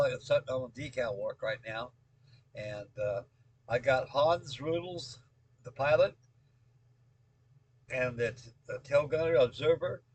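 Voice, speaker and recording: An American man in his late seventies with a husky voice, chatting casually as a hobby video host in a halting, rambling way, often repeating himself. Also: mid-range voice, rough recording. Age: late 70s. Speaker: scale model builder and video host. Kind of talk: casual update chat with rambling storytelling.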